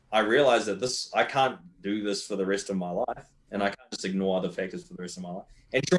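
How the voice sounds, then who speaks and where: A low-voiced young Australian man chatting casually on a podcast. He talks candidly, wanders through his thoughts with restarts, and drops the odd swear word. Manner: candid, rambling, swears freely. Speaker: guest powerlifter.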